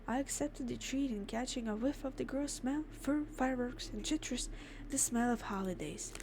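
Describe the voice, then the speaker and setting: A woman doing a let's play, reading game text aloud and adding expressive, informal reactions. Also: a little high, moderate pace, noisy background. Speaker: gamer, let's player. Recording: clear game music behind the voice. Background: game music.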